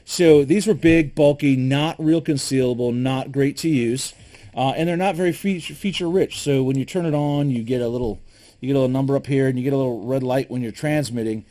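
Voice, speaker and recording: A man from Michigan casually reviewing preparedness gear, talking in a relaxed, opinionated way with frequent ums and restarts. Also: mid-range voice, a little fast. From Michigan, USA.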